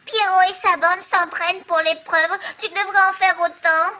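A woman's voice: very high voice, steady tone, moderate pace, heavy background noise. A woman voicing a confident, encouraging little girl chick with a girlish voice in a French cartoon dub.

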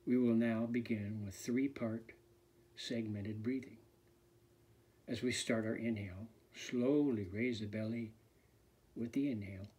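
A man, a fitness instructor, leads a guided meditation in a slow, soft, soothing voice that often sinks to a near whisper. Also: lowish voice, steady tone, husky.

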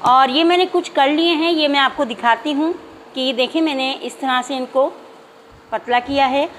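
High-voiced woman calmly teaching a recipe. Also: a little hiss or hum, poor audio. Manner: calm, methodical, addresses viewers directly. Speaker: cooking channel host. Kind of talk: step-by-step cooking instruction. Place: home kitchen, hands only on camera.

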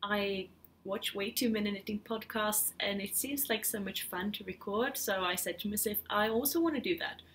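A woman's voice: mid-range voice, normal speed, fairly bright tone, a little hiss or hum. A woman in her thirties from Sweden with a Swedish accent, chatting casually and a little hesitantly as a podcast host at home. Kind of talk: casual knitting vlog chat. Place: living room at home, indoors.

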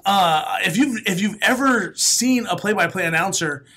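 Comedian guest, male, late 30s, raspy, bright forceful tone, animated talker, studio mic. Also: sits high, moderate pace. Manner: animated storyteller, laughs often, bursts into loud announcer calls. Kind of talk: casual podcast interview. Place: small studio room. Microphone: studio mic, headphones.

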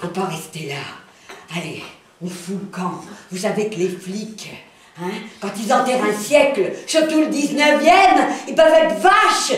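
A woman's voice: mid-range voice, expressive, measured pace, a little hiss or hum, natural voice. A woman playing a brash, streetwise Parisian fortune-teller, who talks in slang and calls out to the audience in a small café-theatre, filmed by a far camera. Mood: boisterous. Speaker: cabaret performer. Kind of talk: live stage monologue and song. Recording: roomy café from far off, music.

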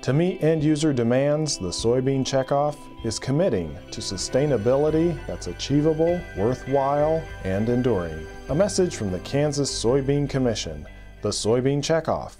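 A man reading a scripted commercial in a slow, deliberate way. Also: mid-range voice, animated, warm tone, faint music behind. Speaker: ad voice-over.

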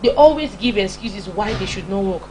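A woman's voice: medium pitch, expressive, normal speed. A young Nigerian woman gives an informal motivational sermon in an emphatic, repetitive, scolding manner with a Nigerian accent. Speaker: preacher and broadcast host. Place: small indoor home-style studio. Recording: clear background hum and noise.

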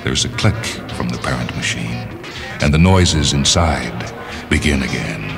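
A man narrating a literary short story from a script, with measured, deliberate phrasing and dramatic pauses. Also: extremely low pitch.

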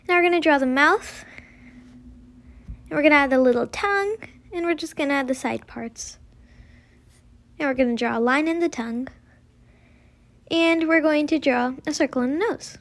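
High-pitched young girl giving drawing instructions. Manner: simple, informal instruction with frequent "like". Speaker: host of a drawing tutorial. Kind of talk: casual step-by-step drawing tutorial narration.

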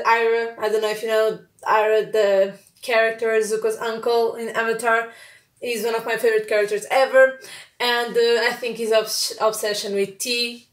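A woman's voice: a little high, reverberant. A young woman from Portugal with a Portuguese accent, chatting casually to camera at home, with lots of fillers and restarts. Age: young adult. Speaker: vlogger. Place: small bright room at home, indoors. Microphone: camera at a few feet.

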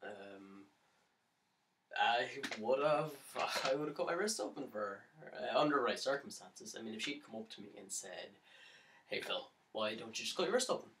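A man performing a dramatic monologue as a lovesick, despairing young man, halting and stammering, on the edge of mania. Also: on the low side, expressive, fairly bright tone, slight background noise. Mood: wounded, wistful. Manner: halting, stammering, nervous laughs breaking into anguished outbursts. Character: lovesick, despairing, manic young man pleading his case. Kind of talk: dramatic monologue for an audition tape. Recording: roomy pickup, distant from the mic.

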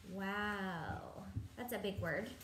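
A woman's voice: mid-range voice, slow, fairly bright tone. A woman in her thirties reading a picture book aloud to young children, adding simple explanations and questions. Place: indoors in a home room, seated at a table. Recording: echoey room, clearly noisy home recording.

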